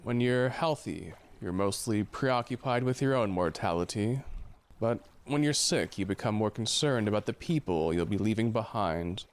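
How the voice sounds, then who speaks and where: An actor playing a gloomy, philosophical man on a ship in an audio drama, with a solemn deadpan delivery. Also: fairly low pitch, moderate pace. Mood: wry, morbid. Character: gloomy philosophical ship's man, fatalistic and slow to romance.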